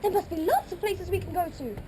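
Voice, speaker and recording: A woman's voice: very high-pitched, quick pace. A woman playing a frightened young bride who pleads tearfully with her father. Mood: desperate. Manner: tearful pleading, breathless outbursts. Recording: loud background noise.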